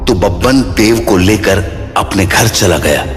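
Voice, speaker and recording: Deep reverberant male horror narrator. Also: expressive, measured pace. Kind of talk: scripted horror story narration. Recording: long reverb with clear music bed.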